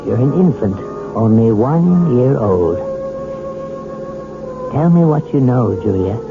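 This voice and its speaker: An actor playing a calm, authoritative hypnotist doctor, measured and reassuring, in a 1970s radio drama. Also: male voice, mid-range voice, music in the background. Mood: commanding, hypnotic. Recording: tinny, faintly noisy old radio broadcast.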